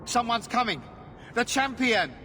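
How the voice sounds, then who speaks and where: Game conspirator: fierce, alarmed, bright and forceful, long hall reverb. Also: female voice, fairly high pitch, expressive, unhurried, slight background noise. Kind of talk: dramatic video game dialogue. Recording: long echoing hall, faint ambience.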